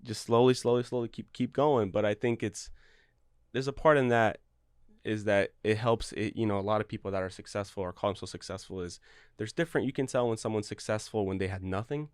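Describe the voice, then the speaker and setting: Earnest young man, smooth low voice. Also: brisk, no echo, clean studio sound. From Ukraine. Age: young adult. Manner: earnest, rambling with restarts. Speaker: guest, real estate broker. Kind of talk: casual interview talk.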